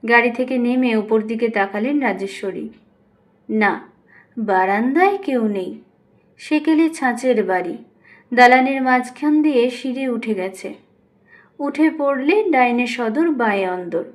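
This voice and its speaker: A woman narrating literary fiction as an audiobook reader, in an expressive storytelling manner. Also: a little high, measured pace, long pauses.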